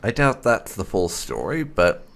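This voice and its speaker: A man hosting a gaming playthrough, reading his character's dialogue aloud in a deliberate, theatrical way. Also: lowish voice, very animated, vocal fry, fairly bright tone. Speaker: gaming channel host, player.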